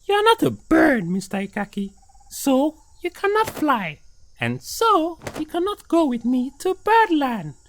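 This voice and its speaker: A woman voicing a plump pigeon in a children's cartoon, reluctant but obliging, with a drawn-out, expressive delivery. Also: high-pitched, full character voice. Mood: apologetic, firm.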